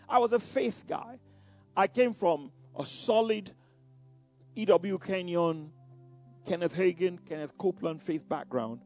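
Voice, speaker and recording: A man's voice: high voice, creaky, darkish tone. An older man with a Nigerian Yoruba accent, preaching and recounting his past, who often repeats words and says "you know". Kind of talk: sermon-like personal testimony.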